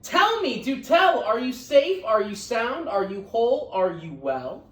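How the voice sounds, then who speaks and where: A woman voicing a gentle, earnest storybook elephant who pleads with emphatic devotion. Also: a little high, big pitch swings, a little slow. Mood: anxious, tender. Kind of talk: storybook read-aloud. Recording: roomy echo, distant microphone.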